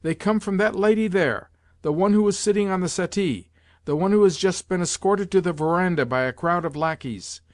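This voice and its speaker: Man acting as reserved gentleman, measured, matter-of-fact, lifted pitch, dry storybook recording. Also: no echo, close to an everyday voice. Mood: observant. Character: reserved, dogged English gentleman.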